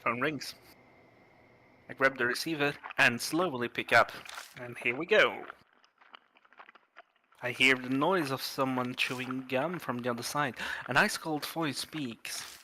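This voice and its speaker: A man narrating a horror game on a livestream, reading aloud deliberately with pauses. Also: medium pitch, noisy background. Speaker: streamer reading a visual novel aloud.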